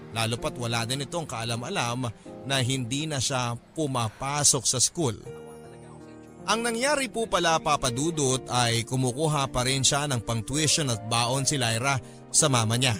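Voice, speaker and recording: A man's voice: mid-range voice, lightly acted. A man performing an earnest young student's story as scripted radio drama narration, polite and confiding. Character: earnest, worried young student friend telling their story.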